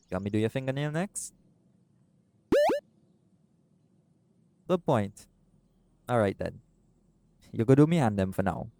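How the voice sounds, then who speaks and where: A man voicing a doting, laid-back seagull shifter boyfriend in an intimate audio roleplay, speaking softly and affectionately. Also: lowish voice, gravelly, no echo. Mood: tender. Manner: soft-spoken, affectionate, creole-inflected speech.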